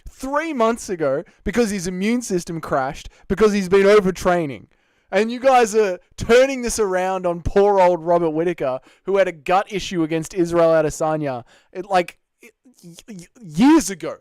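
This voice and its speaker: A young Australian man hosting a live stream, chatting with viewers in excitable, slangy, sweary banter. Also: high-pitched, moderate pace, smooth, no room sound. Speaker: streamer host.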